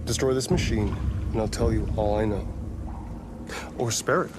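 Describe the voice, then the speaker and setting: An actor playing a calm, provocative male genius inventor in a game cutscene, speaking in a languid, philosophical way with soft taunts. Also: on the low side, normal speed, noisy background, natural voice. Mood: musing. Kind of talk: video game cutscene acting.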